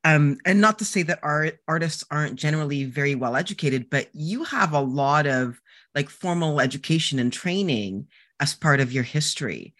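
A woman's voice: low voice, animated, normal speed, fairly bright tone. A woman hosting a podcast, reading a scripted introduction and asking interview questions in a conversational way. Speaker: podcast host.

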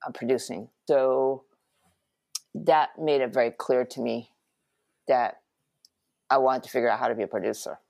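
A middle-aged woman from Japan, an animation producer, recounting her career in a relaxed interview with frequent ums and restarts. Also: a bass voice, normal speed, creaky, pauses often, dry recording. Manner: reflective, many ums and restarts.

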